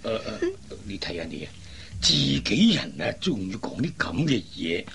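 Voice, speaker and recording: Actor as a lively, puzzled Cantonese father. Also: lowish voice, moderate pace, vocal fry, a little hiss or hum, heavily acted. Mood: fretful. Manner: hesitant, sighing, exclamatory. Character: puzzled, fretful, kindly father of grown daughters. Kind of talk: Cantonese radio drama acting.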